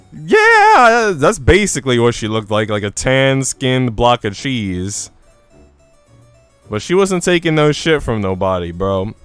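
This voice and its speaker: A man's voice: medium pitch, fairly bright tone, clean tone, no room sound. A man live streaming a game, chatting casually in a slangy, profane, joking way. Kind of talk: casual chat while streaming a visual novel.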